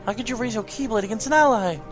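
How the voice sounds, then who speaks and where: A man's voice: very fast, over music, natural voice. A man voicing an earnest young girl warrior in a video game, pleading in a girlish voice. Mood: indignant pleading. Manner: pleading, high-pitched put-on girlish voice.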